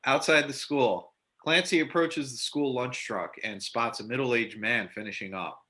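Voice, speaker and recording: A man's voice: mid-range voice, unhurried, sharp tone. A man narrating stage directions in an amateur readers theater reading.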